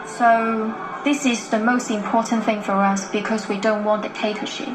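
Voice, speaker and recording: A woman from narrating a documentary in an earnest, measured way. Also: on the high side, level tone, noisy background. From Hong Kong.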